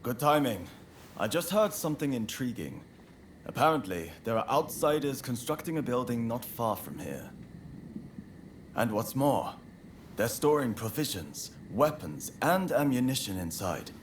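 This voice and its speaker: Intense young samurai rebel, very expressive. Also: male voice, medium pitch, unhurried, slight background noise. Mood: conspiratorial, fervent. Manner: earnest, intense. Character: fervent, vengeful young samurai rebel haunted by grief. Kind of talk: dramatic video game cutscene acting.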